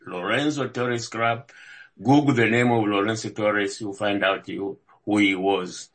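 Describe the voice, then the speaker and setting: An older man from Zambia and South Africa introducing himself as a candidate at a public meeting, speaking in a measured way with frequent hesitations. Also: lowish voice, fairly even intonation, a bit bright. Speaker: board candidate.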